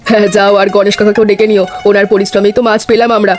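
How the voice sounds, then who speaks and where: A woman's voice: mid-range voice, little pitch movement, rapid-fire, over music, lightly acted. A woman voicing a kindly older village mother in a cartoon, speaking affectionately and giving practical instructions. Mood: instructive. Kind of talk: scripted cartoon dialogue.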